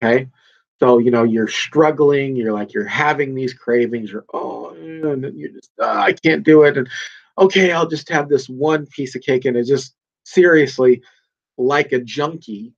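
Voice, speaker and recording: A man's voice: mid-range voice, a little slow, gravelly, muffled recording. A man hosting his own podcast, talking off the cuff in an emphatic, rambling way with plenty of fillers and restarts, a little nasal and congested from a cold. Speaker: podcast host. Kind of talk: casual solo podcast monologue.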